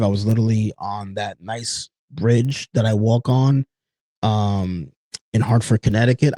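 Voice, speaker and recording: Man in his late thirties, earnest podcast host, warm mellow voice, dry close sound. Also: fairly low pitch, no echo. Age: 30s. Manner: earnest, personal, with frequent "you know" and "folks". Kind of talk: reflective solo podcast talk.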